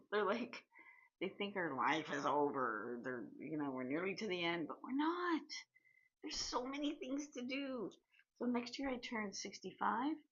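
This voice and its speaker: A woman in her sixties from Canada, chatting candidly in a personal vlog, pausing and restarting as she goes. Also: medium pitch, husky, highs cut off. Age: 60s. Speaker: vlogger.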